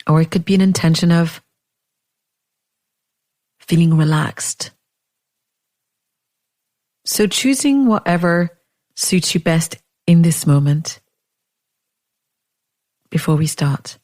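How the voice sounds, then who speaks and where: A woman leading a guided meditation in a gentle, unhurried way, leaving long pauses between phrases. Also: low-pitched.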